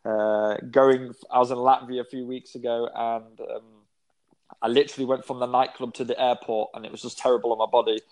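A man's voice: mid-range voice, little pitch movement, a little fast, darkish tone. A man in his twenties, a guest in a casual interview, talking in a rambling way with many fillers and restarts. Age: 20s.

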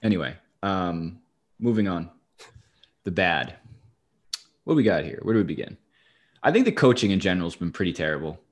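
A man with a fairly low voice hosts a casual sports talk show. He chats in a wry, dry way and swears freely as he gives his commentary. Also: animated, brisk, long pauses. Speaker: sports podcast host.

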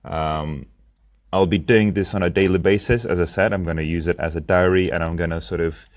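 A man's voice: sits low, steady tone, fairly quick. A young man from Lithuania, speaking English as a second language, talks through his plans in a reflective personal diary monologue, hesitating often with ums and restarts. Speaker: podcast host and founder.

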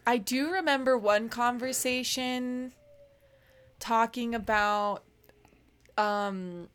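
A woman's voice: a little high, slow pace, fairly bright tone, smooth. A woman in her twenties co-hosting a podcast in casual chat, giggly and full of fillers. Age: 20s. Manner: chatty, giggly, lots of fillers. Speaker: podcast co-host.

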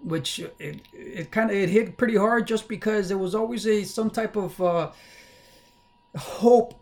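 A young man from South Texas talks candidly in a casual livestream monologue, halting often with fillers and restarts. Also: high-pitched, darkish tone. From South Texas, USA. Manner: candid, personal. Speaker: livestream host.

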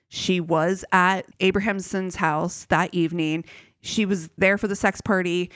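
A woman's voice: on the low side, no echo. Female podcast co-host, casual crime storyteller, curses a lot, dry studio mic. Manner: swears freely, animated retelling with quoted voices.

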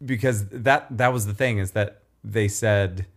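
A man's voice: on the low side, measured pace. A man with a nasal, throaty voice, chatting casually and self-deprecatingly as a podcast co-host. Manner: self-deprecating, rambling with restarts. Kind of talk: casual chat.

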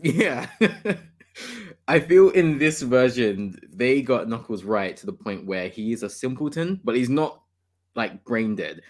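A man's voice: medium pitch, very animated, takes it slowly. A man hosting a casual gaming podcast, enthusiastic and opinionated, laughing often. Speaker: podcast host.

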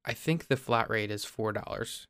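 A young man from Ohio, host of a podcast, explaining things in a relaxed, casual way with small restarts. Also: lowish voice, normal speed, clean tone, no room sound. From Ohio, USA.

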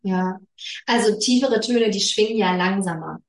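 A woman hosting a casual podcast conversation, enthusiastic and halting with many restarts. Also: mid-range voice. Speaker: podcast host. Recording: roomy echo.

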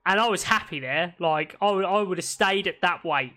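A man hosts a laid-back podcast from home, talking in loose, rambling runs full of restarts and cheerful swearing. His voice sits high and sounds bright and sharp. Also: normal speed. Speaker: podcast host. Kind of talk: casual podcast chat. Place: at home, recording over a video call.

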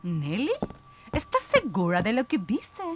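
A woman's voice: mid-range voice, wildly swooping pitch, unhurried, music in the background. A woman playing an older, skeptical sister back from exile in a radio play, pointed and insistent. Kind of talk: Spanish-language radio theatre acting.

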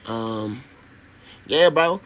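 A man's voice: mid-range voice, animated, very slow, a bit bright. A young man from Africa, a native speaker of an African language, talking casually and alone as a podcast host in a rambling, slangy, profane way. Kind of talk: casual solo podcast monologue.

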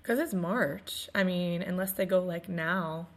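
A woman in her twenties co-hosting a podcast in casual chat, her voice still a little raspy after losing it, telling stories with laughs. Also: lowish voice, measured pace. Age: 20s. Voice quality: slightly raspy. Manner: chatty, laughing storyteller. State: recovering from lost voice. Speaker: podcast co-host.

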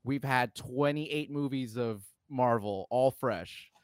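Male podcast co-host: opinionated, exasperated and emphatic, swears freely, average pitch, close dry recording. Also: takes it slowly, clean tone, no room sound. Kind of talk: casual opinionated film discussion.

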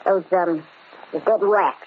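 A woman's voice: on the low side, slow pace. An American actress playing a gangster's sweet-talking, inquisitive girlfriend in an old-time radio crime drama. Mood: coaxing, curious. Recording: dull, slightly noisy vintage radio recording.

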